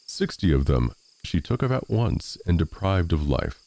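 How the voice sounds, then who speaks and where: A man plays a friendly talking straw from a storybook. The speech is formal and old-fashioned, with pitch that rises and falls freely and a mellow tone. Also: big pitch swings, normal speed, vocal fry, full character voice. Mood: earnest. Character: talking straw, friendly, lucky survivor with ideas.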